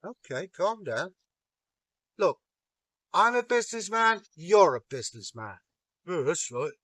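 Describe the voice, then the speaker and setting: A sly, wheedling trickster god, as a storyteller puts him on, all chummy cheek and smooth talk. He pitches his voice up a touch, close and dry. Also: very animated, measured pace, a bit bright, no echo, exaggerated voice. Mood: persuasive.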